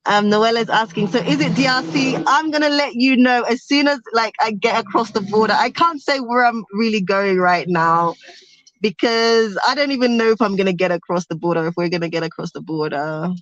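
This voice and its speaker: A woman's voice: on the high side, a little fast, fairly bright tone, no echo, lo-fi sound. A young woman chatting casually on a livestream from a car, reading viewer comments aloud. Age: young adult. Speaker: vlogger. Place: inside a car on a road trip.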